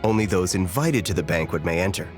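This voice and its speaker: An actor playing a deferential banquet attendant in a video game, formal and very polite. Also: male voice, deep voice, expressive, fast, soft background music. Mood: polite, apologetic.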